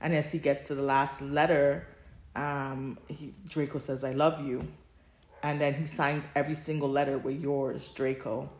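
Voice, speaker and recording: A woman chatting casually as a podcast co-host, telling stories in an animated way. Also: extremely low pitch, normal speed, reverberant, slight background noise. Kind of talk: casual chat about fan fiction.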